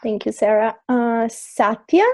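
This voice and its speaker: A woman's voice: a little high, a little slow, a little mellow. A woman hosting a webinar on a video call, politely calling on each guest. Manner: polite, apologetic about names. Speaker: webinar host.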